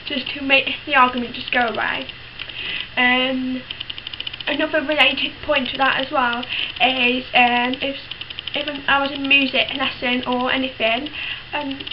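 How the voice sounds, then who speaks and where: A teenage woman talks frankly and casually to camera in a high voice, full of ums and restarts. The sound is dull and lo-fi. A clear hiss runs under her speech. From United Kingdom. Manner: chatty, frank, many fillers and restarts. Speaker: vlogger.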